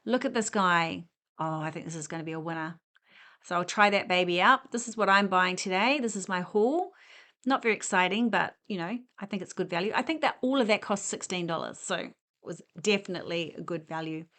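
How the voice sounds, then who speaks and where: A woman's voice: fairly low pitch, brisk, a bit bright. A middle-aged woman chatting and rambling as she walks through a discount store, self-deprecating and casual. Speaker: shopping vlogger. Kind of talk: casual shop walk-through vlog.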